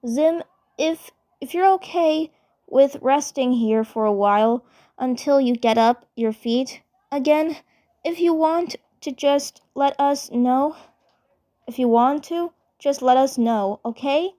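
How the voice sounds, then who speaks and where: An earnest young cartoon superhero girl, acted by a woman with a higher voice. She is the polite, responsible leader, reassuring and unhurried as she repeats her points. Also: warm tone. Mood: calming, polite.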